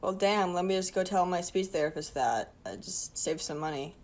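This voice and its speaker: A woman's voice: on the low side, moderate pace, darkish tone. A woman acting out a conversation with dry sarcasm.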